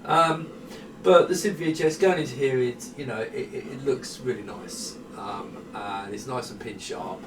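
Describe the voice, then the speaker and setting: A man's voice: medium pitch, moderate pace, a little hiss or hum. A middle-aged man giving a casual, rambling, self-deprecating hardware demonstration, chatting off the cuff. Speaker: presenter demonstrating retro computer hardware. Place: small indoor room at a desk. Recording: roomy, distant camera microphone.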